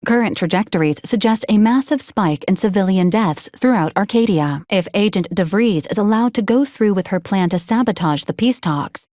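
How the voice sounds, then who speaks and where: A dutiful female combat android from a video game, played by a woman. She speaks in a formal, clinical deadpan at a middling pitch, coldly polite even when she is being bluntly violent. The sound is close and dry, with no room around it. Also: no room sound, lightly acted. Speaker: robotic companion to a commander. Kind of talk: scripted video game character lines.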